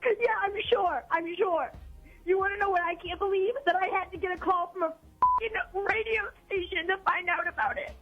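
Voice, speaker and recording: A woman's voice: extremely high pitch, moderate pace. A woman on a phone call to a radio show, angry and upset. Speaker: caller. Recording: tinny phone line with music.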